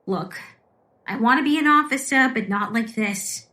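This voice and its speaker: A woman playing an earnest, conflicted police officer in a comedic audio drama, speaking in a measured manner. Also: fairly high pitch, bright, forceful tone.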